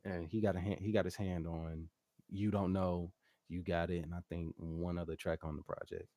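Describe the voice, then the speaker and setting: Male music artist interviewee, very low voice, dry close sound, slangy rambling casual talk. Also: little pitch movement, moderate pace, warm tone, no room sound. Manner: slangy, rambling, frequent "you know what I'm saying". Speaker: guest recording artist and music producer.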